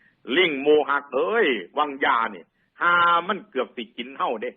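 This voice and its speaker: A man's voice: a little high, expressive, normal speed, slightly rough, narrow, tinny audio. A man voicing a frightened tiger in a folk fable, stammering, pleading and wailing with an Isan accent. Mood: breathless fear. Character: frightened panicky tiger fleeing an imagined monster.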